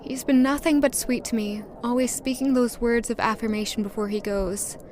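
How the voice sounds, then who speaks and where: Earnest young woman, higher voice, played by an actress. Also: steady tone, moderate pace, a little mellow, slight background noise, lightly acted. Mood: wistful, smitten. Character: tender, hopeful young woman smitten with a suitor. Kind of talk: audio drama narration and dialogue.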